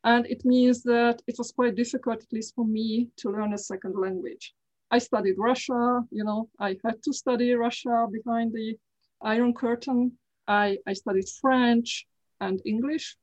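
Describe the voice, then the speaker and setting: Hungarian-accented woman, careful and halting. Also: fairly high pitch, darkish tone, poor audio. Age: middle-aged. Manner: careful, halting, frequent restarts. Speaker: guest. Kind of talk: casual interview talk.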